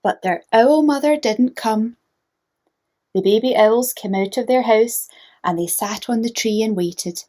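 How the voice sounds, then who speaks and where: A woman narrating a children's storybook in a gentle manner, inviting young listeners to join in. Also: fairly high pitch, unhurried.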